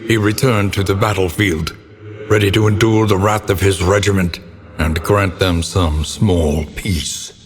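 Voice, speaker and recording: A man playing a grim, solemn narrator of dark gothic game tales, speaking in theatrical, ornate phrasing. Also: sits low, fairly even intonation, a little slow. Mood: grim, ominous. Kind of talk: dramatic scripted gothic narration. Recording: long reverberant tail, clear background noise.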